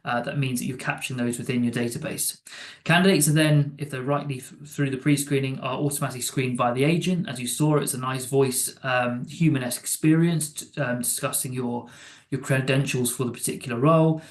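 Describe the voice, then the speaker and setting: A man presents a product recap in an informal, conversational way. His voice is of middling pitch and sounds roomy, with clear echo around it. He sometimes trips over words as he goes. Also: steady tone, a little fast. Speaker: software demo presenter.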